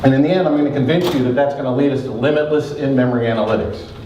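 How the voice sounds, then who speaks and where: A man giving a joking, energetic conference talk, talking fast with a big voice. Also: mid-range voice, highs cut off, background noise. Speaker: conference presenter. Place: conference hall with audience. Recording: echoey hall from far off.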